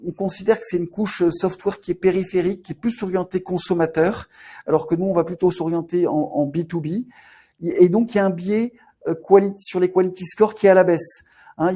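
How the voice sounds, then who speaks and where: A man with a Marseille accent giving market commentary on a webinar, halting often, restarting and repeating words as he explains. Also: high-pitched, steady tone, dark tone. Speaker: fund manager.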